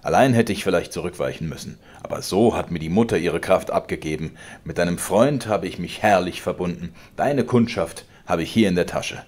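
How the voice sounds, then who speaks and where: A man reading a story voices a domineering old father who rants loudly, mocking and accusing his son. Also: sits low, brisk, few pauses. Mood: scornful. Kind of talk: audiobook character voice.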